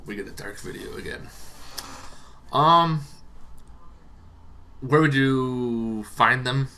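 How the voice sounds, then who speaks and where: A man hosting a tabletop roleplaying show, explaining casually and off the cuff in a rambling, halting way that repeats words. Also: mid-range voice, pushed and bright, a little hiss or hum. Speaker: host of a tabletop roleplaying monster lore show. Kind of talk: casual unscripted explainer to camera.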